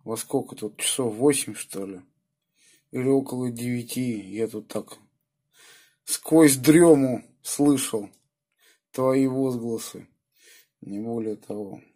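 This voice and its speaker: A man with a sore throat from a cold, chatting in a husky, subdued way over FM radio. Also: takes it slowly, long pauses, mellow. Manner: low-key, subdued. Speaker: amateur radio operator. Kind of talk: casual radio chat. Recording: FM two-way radio transmission.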